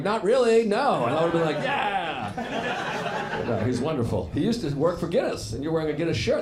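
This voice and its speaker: A man doing stand-up crowd work, teasing the audience and laughing through his lines on a club stage. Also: a little high. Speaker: stand-up comedian. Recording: echoey club with loud crowd noise.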